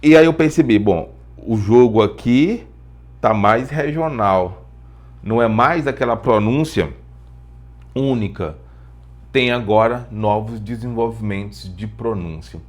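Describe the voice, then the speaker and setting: A man's voice: fairly low pitch, unhurried. A man with a Brazilian accent giving an informal lesson as an English teacher, explaining through personal stories, repeating phrases for emphasis and posing rhetorical questions.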